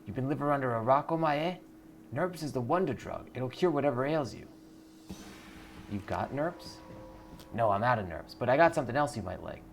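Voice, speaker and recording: A man's voice: medium pitch, animated, moderate pace, vocal fry, pauses often, a little hiss or hum, pitched above the performer's own voice. A man voicing a shady street drug dealer in a video game, with pushy, slangy sales patter. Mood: pushy, sly.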